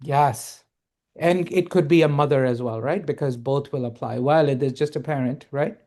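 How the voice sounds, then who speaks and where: A man teaching a reading lesson, explaining slowly and patiently and checking often that his students follow. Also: mid-range voice, warm tone. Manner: patient, explaining, checks understanding with "right?" and "okay?". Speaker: Arabic teacher.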